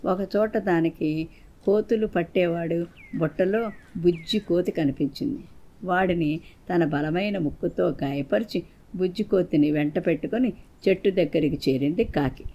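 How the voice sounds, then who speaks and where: A woman's voice: fairly low pitch, moderate pace, mellow, rough recording. A woman narrating a scripted moral folktale for children as a storyteller.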